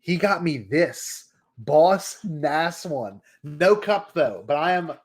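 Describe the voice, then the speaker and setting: A man co-hosting a fan podcast with casual, playful, excitable banter, often repeating his phrases. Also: on the high side, slow pace. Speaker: podcast co-host.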